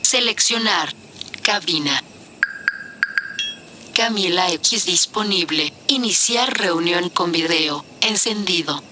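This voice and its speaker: A woman voicing a polite automated computer assistant, giving measured, impersonal prompts in an audio drama. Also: lowish voice, background noise. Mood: polite, neutral.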